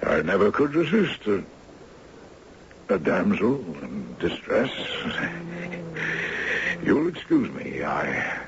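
Slow, deep-voiced dying old judge. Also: male voice, big pitch swings, heavily acted. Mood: weary, resolute. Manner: halting, drawn-out delivery. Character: dying old retired judge, courtly, stubborn, weary. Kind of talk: 1970s radio drama acting. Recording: dull, faintly noisy 1970s radio recording.

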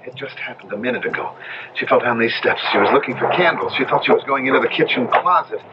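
An actor playing a worried, protective young husband, urgent and coaxing, in an old radio drama. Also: male voice, mid-range voice, fast, lightly acted. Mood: worried, tender. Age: young adult. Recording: dull, noisy old radio broadcast recording.

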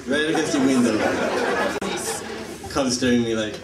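A young man with a Puerto Rican Spanish accent works a comedy club crowd, joking and playful. He sounds set back from the mic in a roomy, echoing space. A loud audience laughs around him over a noisy background. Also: mid-range voice, moderate pace. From Puerto Rico. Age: young adult. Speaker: stand-up comedian. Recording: echoey live room from a distance.

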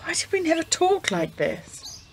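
A woman's voice: very high voice, sing-song, measured pace, a little hiss or hum, exaggerated voice. A woman playing a genteel, anxious upper-class 1920s wife in a radio play, formal and pleading. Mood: wistful.

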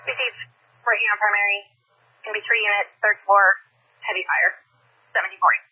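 A fire dispatcher reads back calls in a clipped, businesslike way. The delivery is fast and flat, coming through a narrow radio band. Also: medium pitch, slightly rough. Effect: two-way radio. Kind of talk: radio dispatch read-backs.

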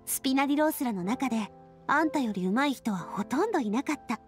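Lively, high-voiced friendly young woman. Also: fast, faint music behind. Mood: fond, nostalgic. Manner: friendly, casual, animated. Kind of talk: Japanese game voice acting.

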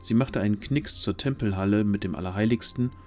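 A man's voice: fairly low pitch, a little fast, darkish tone. A man narrating a scripted fantasy story as the narrator of an audio drama. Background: background music.

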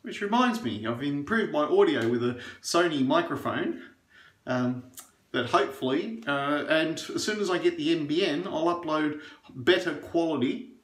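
Middle-aged aussie bloke rambling on while shaving, bit high voice, echoey little bathroom. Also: male voice, expressive, moderate pace, a bit bright. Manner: chatty and opinionated, rambling with fillers. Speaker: shaving reviewer. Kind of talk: casual chat while shaving. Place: small bathroom indoors.

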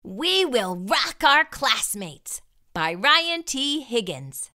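A woman reading a children's storybook aloud in an expressive, deliberate storytelling manner. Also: a little high. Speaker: storybook read-aloud host.

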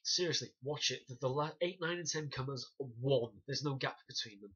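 A man in his thirties talks straight into a webcam from a small room, bright-toned with a husky edge. He explains with animated gestures and drops wry, sarcastic asides. The sound is dull and lo-fi, like a desk webcam. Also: medium pitch, fairly quick. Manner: animated, gestures and demonstrates punches. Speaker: self-defence instructor reviewing a documentary. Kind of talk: casual to-camera review. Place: small room indoors, banner behind. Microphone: webcam at desk distance.

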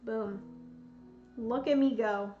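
A young woman, losing her voice, slowly talks herself through a solo board game at home, counting out pieces as she plays. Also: on the high side, steady tone. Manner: slow, thinking aloud, counting pieces. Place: home room with bookshelves, indoors. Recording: roomy, slightly dull sound, soft music.

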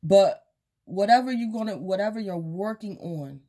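A woman's voice: mid-range voice, lively intonation, moderate pace, dark tone. A tired woman who is losing her voice, giving a rambling, casual tarot reading at home with lots of fillers. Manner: rambling, loose, with many fillers and repeats. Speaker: tarot reader. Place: small indoor room at home, table of cards and crystals.

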